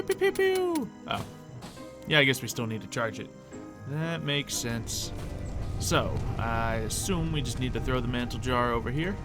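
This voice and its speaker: A man gives casual gameplay commentary with music clearly playing behind him. His pitch sits in the middle for a male voice, and he mixes musing asides with playful, excitable exclamations as he thinks aloud. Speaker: gaming video host. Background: background music.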